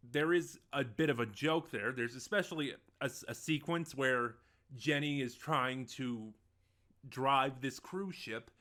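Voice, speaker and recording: A man hosts an informal review in a bright, forceful voice at a middling pitch. He speaks off the cuff, rambling a little, hesitating and rephrasing as he goes. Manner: rambling, self-correcting, hesitant. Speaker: online reviewer of audio dramas, host. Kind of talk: casual unscripted review monologue.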